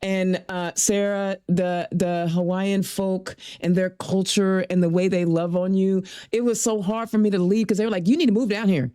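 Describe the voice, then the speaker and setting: A woman's voice: mid-range voice, normal speed, few pauses, smooth. Folksy woman host in her fifties. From Indiana. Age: 50s. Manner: folksy, animated, playful. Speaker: podcast host. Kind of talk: casual interview chat.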